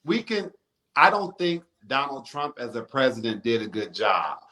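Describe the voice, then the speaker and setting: Strong-voiced baritone man in his forties. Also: sing-song, normal speed, bright, forceful tone. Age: 40s. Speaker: radio talk show host, guest. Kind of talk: casual interview conversation.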